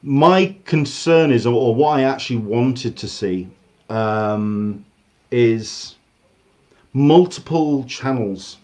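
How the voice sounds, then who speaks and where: A man's voice: mid-range voice, slow pace. A man with an English accent talks through a casual livestream from his home, rambling and doubling back mid-sentence. His voice sits in an ordinary middle register and comes through a video call. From England. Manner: rambling, restarts often, animated. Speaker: host. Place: home room. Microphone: video call with earphones.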